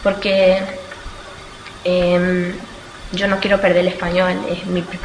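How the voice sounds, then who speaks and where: An eighteen-year-old woman from Australia answers interview questions informally, haltingly searching for words and correcting herself. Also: lowish voice, monotone. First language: Spanish. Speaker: student being interviewed. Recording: echoey room with clear background noise.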